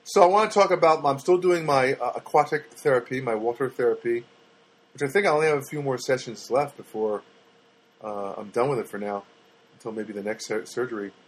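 A man's voice: mid-range voice, brisk, poor audio. A man telling stories in a casual, rambling and sarcastic monologue, with many fillers and restarts.

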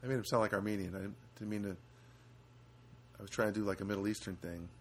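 A man hosting a casual solo podcast from a studio apartment, rambling and halting with many fillers. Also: on the low side, steady tone. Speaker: podcast host.

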